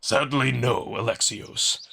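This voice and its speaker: Man voicing a gravelly old wolf emperor. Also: medium pitch, bright, forceful tone, a little hiss or hum, full character voice. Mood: stern. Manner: formal, deliberate, menacingly restrained. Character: old male wolf emperor, stern, imperious, displeased.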